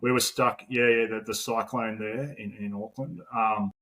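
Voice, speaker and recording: A man's voice: on the low side, steady tone, moderate pace, bright, forceful tone, rough recording. An Australian man in his forties, a podcast guest talking casually, rambling with lots of fillers and the odd swear word. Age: 40s. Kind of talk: casual conversational interview.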